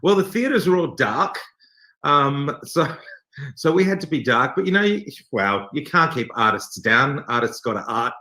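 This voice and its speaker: A man chatting casually as an interview guest, easygoing, with halting phrasing. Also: medium pitch, big pitch swings, sharp tone, roomy sound. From Australia. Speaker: theatre company member, interview guest.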